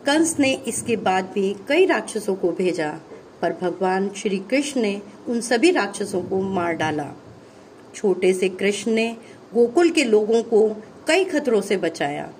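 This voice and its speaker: Female storyteller for a children's puppet show: scripted narration, slow delivery, mid-range pitch. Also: a little mellow.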